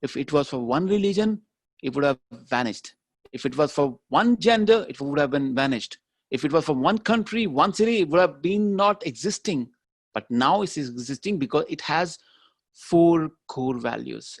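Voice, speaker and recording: A man's voice: on the high side, animated, normal speed, dry recording. A man giving a motivational keynote speech, talking emphatically and repeating his key phrases. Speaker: keynote speaker.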